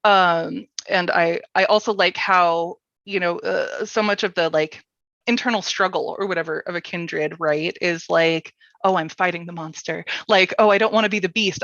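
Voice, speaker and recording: A woman hosting a casual panel interview, informal and halting, with lots of "like" and "you know". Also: mid-range voice, fairly bright tone. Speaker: panel host. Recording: dull, lo-fi, roomy sound.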